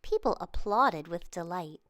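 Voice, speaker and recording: A woman narrating a children's storybook in an expressive, careful read-aloud delivery. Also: on the low side, unhurried, no echo, clean studio sound. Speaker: children's book reader.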